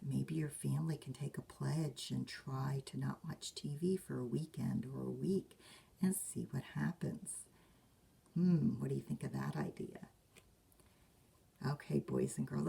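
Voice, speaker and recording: A woman librarian reading a picture book aloud to children, expressive and chatting directly to her young listeners. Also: very deep voice, creaky, husky. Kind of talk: read-aloud storytime with chatty asides.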